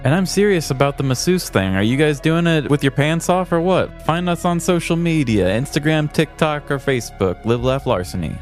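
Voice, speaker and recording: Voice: medium pitch, animated, moderate pace, hardly any pauses, soft background music. A podcast co-host in casual comedic chat, with a wry, conversational way of talking.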